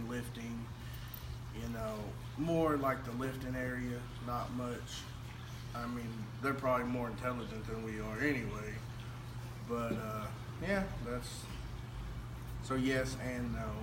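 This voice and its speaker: A man from Texas, answering interview questions in a casual, self-mocking way. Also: medium pitch. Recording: roomy, distant, noisy background.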